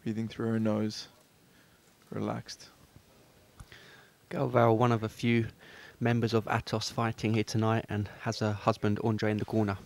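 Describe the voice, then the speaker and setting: A man gives calm, measured live commentary on a match. His voice is low and gravelly, with almost no rise or fall in pitch.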